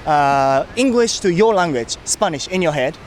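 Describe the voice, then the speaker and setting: A man's voice: on the high side, lively intonation, normal speed, slight background noise. A Japanese man with a Japanese accent, hosting a casual street interview outdoors and asking eager questions.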